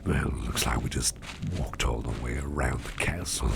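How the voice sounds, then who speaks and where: A man in an ASMR roleplay voicing an eager, secretive boss with fangs, speaking in a soft, rambling whisper over clear background noise. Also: a bass voice, steady tone, moderate pace, heavily acted. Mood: chatty.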